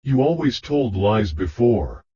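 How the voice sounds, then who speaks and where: A man's voice: fairly low pitch, sing-song, measured pace, dark tone, husky, highs cut off, heavily acted. A man playing a sceptical, indignant villager in a children's storybook. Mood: reproachful.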